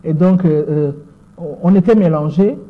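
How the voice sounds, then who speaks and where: A Congolese woman in her sixties answers an interviewer's questions in French with a Congolese accent. She talks in a measured way, repeating words as she searches for them. The sound is thin and boxy, like a voice over a phone line. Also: on the low side. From Democratic Republic of the Congo. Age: 60s. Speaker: interview guest, lawyer.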